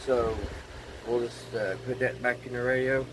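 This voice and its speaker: A man's voice: medium pitch, normal speed. A man casually narrating hands-on repair work to his viewers, thinking aloud in a folksy way. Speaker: host of a radio repair channel. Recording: loud steady background hum and room noise.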